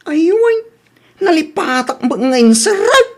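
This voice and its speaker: A woman's voice: extremely high pitch, sing-song, slow, full character voice. A woman with a Philippine accent voicing a hapless, sheepish, bickering husband in a comedy radio drama. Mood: sheepish, protesting.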